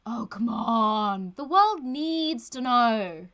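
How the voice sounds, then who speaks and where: Irreverent, strong-minded young woman, audio drama. Chatty, casual, teasing; higher-pitched, animated delivery. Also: extremely slow, exaggerated voice. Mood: gossipy, playful. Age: young adult. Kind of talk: audio drama acting, vlog-like casual chat.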